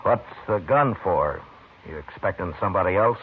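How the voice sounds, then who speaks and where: An American actor playing a stern, weary, plain-spoken frontier marshal in a 1950s radio western, with a blunt, dry manner. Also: male voice, deep voice, expressive, moderate pace. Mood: calm, probing. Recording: noisy, phone-like 1950s radio broadcast.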